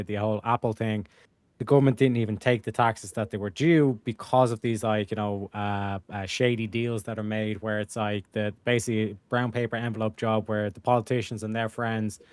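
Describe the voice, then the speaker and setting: Fast-talking man, flat delivery. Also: lowish voice, clear, resonant tone, no echo. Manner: rapid, rambling with many fillers. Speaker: panel guest. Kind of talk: casual panel discussion.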